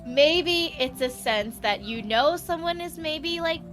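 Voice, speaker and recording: A young adult woman doing a casual live-stream tarot reading, speaking in a halting, giggly way with repeated filler phrases. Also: sits high, animated, a bit bright. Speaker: tarot card reader. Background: background music.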